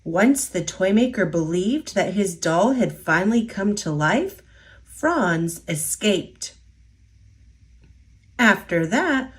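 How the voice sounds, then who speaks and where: A woman reading a children's picture book aloud in an expressive storytelling voice. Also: medium pitch.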